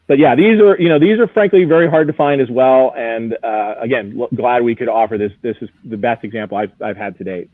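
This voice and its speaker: A man's voice: mid-range voice, fairly quick, hardly any pauses, dry recording. A man giving a conversational, enthusiastic expert commentary as he previews collectible items on a live show. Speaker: auction house executive as guest expert. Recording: narrow, phone-like radio-style sound.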